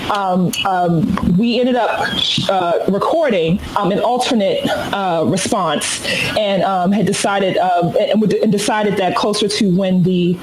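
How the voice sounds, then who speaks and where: A woman's voice: medium pitch, measured pace, a crowd in the background. A woman who writes and voice acts for a podcast, chatting casually on a livestream with many ums and restarts. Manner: hesitant, many fillers and restarts. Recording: echoey room with background noise.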